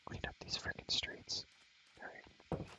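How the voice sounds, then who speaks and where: A man's voice: measured pace, poor audio. A young man whispering ASMR commentary over a game in a rambling, enthusiastic, slangy way, often repeating his phrases. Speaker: ASMR creator showing a game world.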